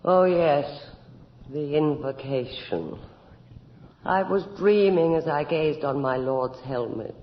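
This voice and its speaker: An actress playing an obsessed, ruthless widowed noblewoman in a gothic horror radio drama, theatrical and commanding, with a husky voice. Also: low-pitched, slow, a little hiss or hum. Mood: brooding. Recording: dull vintage radio drama recording.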